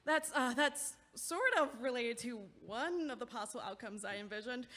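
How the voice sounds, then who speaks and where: Woman acting a high-voiced, nervously rambling young woman. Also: moderate pace, a bit bright, close to an everyday voice. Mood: awkward, kind. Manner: rambling, self-correcting, anxiously over-explaining. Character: nervous, rambling, over-considerate young woman bringing food. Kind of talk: audio play acting, comedic monologue. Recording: echoey hallway-like long reverb.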